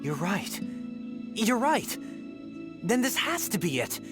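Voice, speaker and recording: An earnest young traveler. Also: female voice, mid-range voice, sing-song, slow pace, over music. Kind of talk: video game dub.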